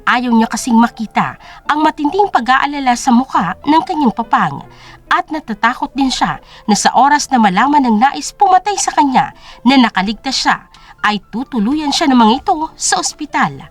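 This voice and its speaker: High, expressive woman storyteller. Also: normal speed. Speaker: narrator. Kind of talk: dramatic scripted story narration. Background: music.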